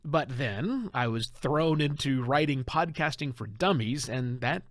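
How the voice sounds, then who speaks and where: A middle-aged man hosting a podcast, delivering a conversational advice monologue with an emphatic storyteller's delivery and dramatic pauses. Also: medium pitch, animated, fairly bright tone, no echo, studio quality. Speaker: podcast host.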